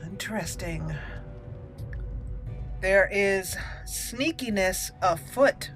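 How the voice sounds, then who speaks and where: A woman's voice: medium pitch, lively intonation, very slow, faint music behind. A woman hosting a gaming channel, giving casual commentary as she plays a roleplaying game.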